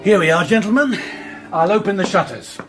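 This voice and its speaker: An actor playing a tense, guarded gentleman in a radio drama, formal, curt and easily indignant. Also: high-pitched, lively intonation, a little fast, over loud music. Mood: formal, reserved.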